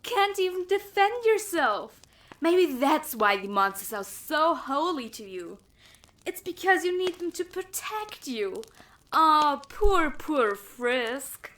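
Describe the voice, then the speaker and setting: A woman's voice: sits high, very animated, slow pace, fairly bright tone, full character voice. A woman playing a cruel, mocking female villain in an audio drama, with theatrical menace and music behind her. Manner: taunting, theatrical.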